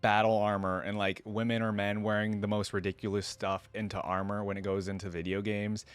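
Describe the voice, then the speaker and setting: A man's voice: lowish voice, little pitch movement, brisk, clear, resonant tone, no echo. A man hosting a game reaction channel, chatting casually and enthusiastically as he comments. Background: faint music.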